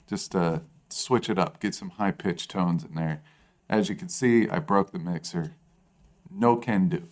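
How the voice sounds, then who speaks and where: A man co-hosting a podcast in casual chat, with a low bassy voice and a self-deprecating, rambling way of talking. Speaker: podcast co-host.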